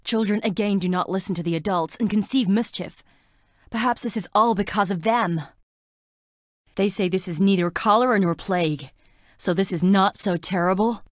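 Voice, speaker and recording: A woman's voice: medium pitch, moderate pace, no room sound, clean studio sound, lightly acted. An actress playing a frail, melancholy young woman in a plague-stricken town, delivering dramatic video game lines in a wistful, languid, theatrical way. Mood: uneasy, musing.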